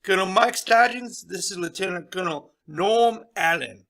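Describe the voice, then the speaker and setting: A man playing a brisk, commanding senior military officer in an audio drama, with a clipped, authoritative manner. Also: high voice, sharp tone. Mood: serious, urgent.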